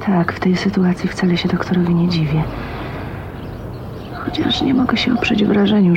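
An actress playing a shy, lonely, dreamy young spinster in a radio drama, speaking hesitantly in a hushed, intimate way. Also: female voice, fairly low pitch. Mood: resigned, wistful. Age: young adult. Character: shy, lonely, dreamy 29-year-old spinster, wistful and wounded. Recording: dull, noisy 1980s radio drama recording.

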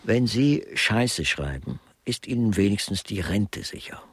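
An actor playing a sardonic artist turned amateur investigator in a radio play, talking in a mannered, literary, dryly ironic way. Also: male voice, on the low side, lively intonation, a little slow, husky, no room sound. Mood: sardonic, probing. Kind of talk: German radio play dialogue.

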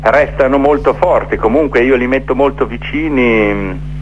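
A man's voice: mid-range voice, normal speed. A middle-aged man speaks reflectively as a radio interview guest, telling stories and building rhythmic lists. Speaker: theatre maker interviewed as guest. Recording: dull, noisy phone-in with hum.

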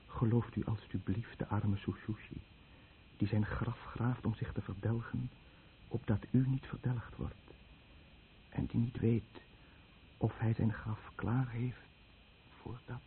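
A man's voice: fairly low pitch, fairly even intonation. Solemn guilt-ridden fisherman, tinny recording. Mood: grave warning. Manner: solemn, deliberate, addressing listeners directly. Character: guilt-ridden Japanese fisherman, grave, confessing and warning his listeners. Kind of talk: radio drama monologue. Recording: old radio play, tinny narrow band, faint noise.

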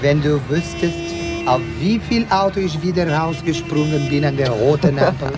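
A man's voice: a little high, moderate pace, natural voice. An actor playing a proud, touchy transgender woman working the streets in a radio drama, sassy and sprinkling in Spanish endearments. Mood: brash, chatty. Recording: loud music behind the voices. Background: loud music.

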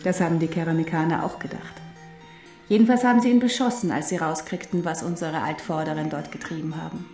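An actress in a German radio drama playing a knowing teacher who explains history in a deliberate, emphatic way. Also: female voice, low-pitched, steady tone, a little mellow, roomy sound, lightly acted. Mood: matter-of-fact, emphatic. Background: music.

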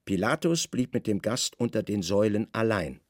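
A man with speech narrating a literary radio drama in a measured, precise storytelling manner. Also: on the low side, expressive, dry recording, studio quality.

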